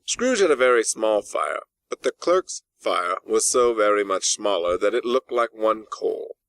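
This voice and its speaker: A man reading a classic story as an audiobook narrator, in a measured, expressive storytelling manner. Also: lowish voice, dry recording. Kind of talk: scripted literary narration. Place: dry in-house recording space.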